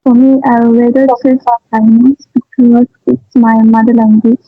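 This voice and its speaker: A student answers interview questions with pauses and self-corrections. The voice stays flat. It sounds tinny and dry, with faint music in the background. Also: medium pitch, no room sound.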